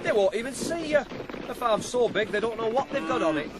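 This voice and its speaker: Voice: mid-range voice, expressive, fairly quick, very noisy, lightly acted. An actor playing a friendly, cheeky young farm lad with a Northern English accent, blunt and easygoing in a radio drama. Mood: amiable. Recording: loud farmyard ambience behind.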